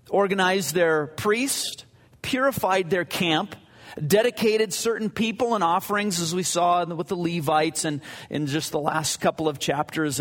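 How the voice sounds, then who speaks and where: A man, a pastor leading a prayer and then teaching the Bible in a halting, conversational way with many fillers. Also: fairly bright tone. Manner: conversational, anecdotal. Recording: boomy mic with long reverb.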